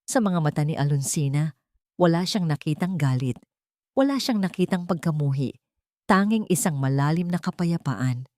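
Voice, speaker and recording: A woman's voice: low-pitched, animated, normal speed, a little mellow, no echo, clean studio sound. A woman reading a dramatic scripted story as narrator.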